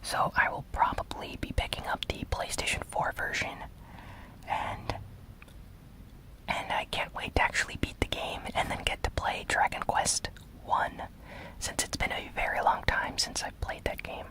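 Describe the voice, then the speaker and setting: An ASMR gaming news host speaking in a breathy, unvoiced whisper, soft, unhurried and conversational. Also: low-quality recording.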